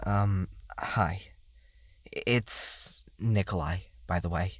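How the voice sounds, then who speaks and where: A man's voice: low-pitched, very slow, dry recording, full character voice. A man playing a tired, withdrawn young psychiatric patient in an audio drama, speaking in an anxious, subdued, hesitant way. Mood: uneasy, weary.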